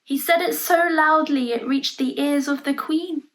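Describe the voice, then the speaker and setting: A woman reads a picture book aloud for children in a high voice. She takes her time, with a very slow, expressive storytelling delivery, and pauses to point out what is in the pictures. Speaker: storybook reader.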